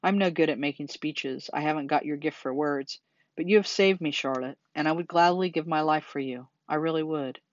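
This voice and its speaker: An earnest young male pig from a children's tale, as a narrator puts him on. He is emotional and excitable, pleading dramatically. The sound is dry and slightly dull. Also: mid-range voice, brisk, no room sound, close to an everyday voice. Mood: sincere. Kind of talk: children's storybook character reading.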